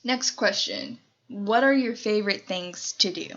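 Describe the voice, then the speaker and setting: A woman's voice: mid-range voice, unhurried, a bit bright, lo-fi sound. A nineteen-year-old woman from Colorado, chatting casually in a Q&A vlog, rambling with lots of fillers. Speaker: YouTuber.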